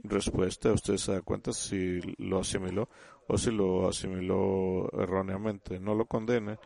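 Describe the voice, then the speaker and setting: Low gravelly man preaching. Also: flat delivery, darkish tone. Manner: halting, repeats and restarts words; addresses listeners as "usted". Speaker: church speaker. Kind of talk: sermon.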